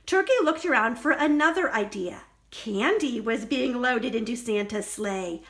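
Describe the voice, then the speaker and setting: Female children's storyteller: high, bright voice, emphatic and expressive, reading a picture book aloud. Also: a little slow. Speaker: librarian storyteller.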